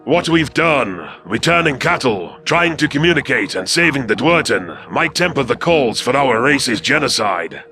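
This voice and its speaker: Man voicing a gravelly alien predator warrior. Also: mid-range voice, sing-song, normal speed, hardly any pauses, over music, voice pushed up. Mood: weary. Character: weary, earnest male alien predator warrior.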